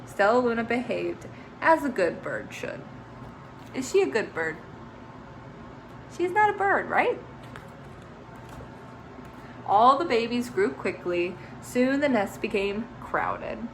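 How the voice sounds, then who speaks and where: A woman teacher reading a children's picture book aloud, expressive, with chatty asides to young listeners. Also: medium pitch, slow, pauses often, a little hiss or hum, poor audio.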